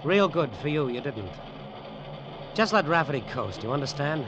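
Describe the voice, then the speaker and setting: A man's voice: fairly high pitch, sing-song, normal speed. An actor playing a cynical, menacing tough guy in an old radio drama, clipped, slangy and hard-boiled. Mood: cold menace. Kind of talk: old radio drama acting and noir narration. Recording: muffled, noisy old radio recording.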